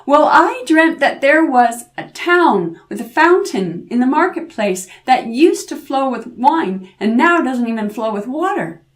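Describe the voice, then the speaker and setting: Woman acting an old folk-tale grandmother, fussy, sly, high-pitched, pleading, repeating herself. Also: sing-song, measured pace, few pauses. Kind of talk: oral folk tale storytelling.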